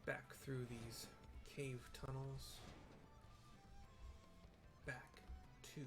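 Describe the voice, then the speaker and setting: A man with a gravelly, creaky voice narrates his video game play in a casual way. His remarks are wry and come in halting pieces. Game music plays clearly behind him. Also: mid-range voice, little pitch movement. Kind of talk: casual gameplay commentary.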